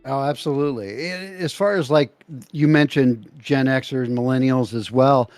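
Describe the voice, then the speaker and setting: A man's voice: mid-range voice, normal speed, a little mellow, soft background music. A man of fifty-three co-hosting a casual livestream, speaking earnestly and checking in with his listener. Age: 50s. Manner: earnest, checks in with "okay?" and "right?". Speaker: livestream co-host. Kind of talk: casual chat.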